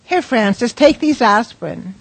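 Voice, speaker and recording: A woman playing a caring, practical bar worker in a radio drama, with a husky, plain-spoken voice. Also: fairly high pitch, lively intonation, normal speed, no echo, poor audio. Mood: solicitous.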